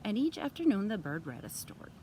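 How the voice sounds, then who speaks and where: A woman reading a children's story aloud expressively, with deliberate, teacherly phrasing. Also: wildly swooping pitch, darkish tone, poor audio. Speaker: storytime reader. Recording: clear background noise, vehicle rumble. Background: background noise.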